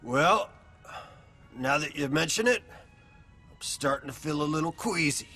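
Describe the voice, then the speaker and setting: An actor playing a sarcastic, wisecracking biker gang member in a video game, slangy and contemptuous. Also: male voice, fairly high pitch, lively intonation, measured pace, sharp tone, faint music behind. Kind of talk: video game cutscene acting.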